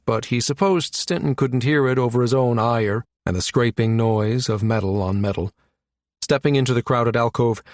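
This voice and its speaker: A man narrates a science fiction audiobook in a scripted storytelling style. His voice sits at a medium pitch, and the recording is very dry, with hardly any room in it. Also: a little mellow, no room sound.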